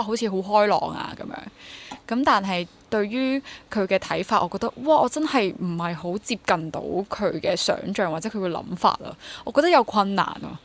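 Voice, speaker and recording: A young adult woman with a Hong Kong accent chats casually and reflectively as an interview guest, mixing in English words. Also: medium pitch. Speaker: interview guest, theatre actor.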